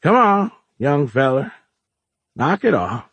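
A man voicing a weary, fatherly old shopkeeper with a slow Southern US drawl in a story. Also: fairly high pitch, sing-song, fairly bright tone, husky, no echo, pitched above the performer's own voice, exaggerated voice. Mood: weary disappointment.

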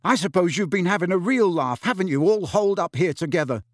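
A man voicing an angry teenage boy wizard who shouts bitter, sarcastic questions. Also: sits high, lively intonation, fast talker, no echo, clean studio sound, pitched above the performer's own voice. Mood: furious.

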